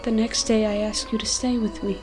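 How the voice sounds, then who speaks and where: A woman's voice: a little high, flat delivery, a little fast, over music, close to an everyday voice. A woman voicing an ancient, moss-covered forest demon in an audio roleplay, tender and protective, speaking in solemn, poetic, archaic storytelling phrases. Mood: wistful, regretful.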